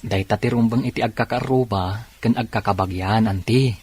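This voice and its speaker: A man's voice: lowish voice, normal speed, darkish tone, slightly rough. A man playing a humble, forgiving nephew with an Ilocano accent, respectful and earnest, in a radio drama.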